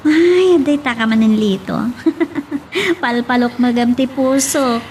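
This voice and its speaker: A woman with an Ilocano accent playing an excitable, lovestruck young woman in an audio drama. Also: high voice, slow, a little hiss or hum. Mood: excited.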